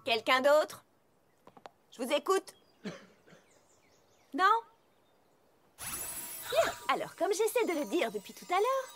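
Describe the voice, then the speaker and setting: Earnest, high-voiced young cartoon pony. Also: female voice, sing-song, slow pace, pauses often, full character voice. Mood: exasperated. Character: earnest, bookish young female cartoon pony, easily exasperated. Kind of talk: French-dubbed children's cartoon acting. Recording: music bed behind the voice.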